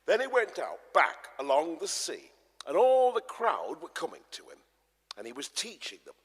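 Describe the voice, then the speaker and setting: A man narrating scripture dramatically, like a theatrical storyteller, with emphatic swells and hushes. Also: on the high side, wildly swooping pitch, moderate pace, slightly rough. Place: large church, live performance. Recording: echoey church, long reverb.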